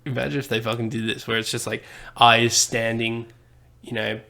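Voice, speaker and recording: Animated man, rambling chat. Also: medium pitch, brisk, creaky. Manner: rambling, animated, swears casually. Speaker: podcast co-host. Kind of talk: casual chat.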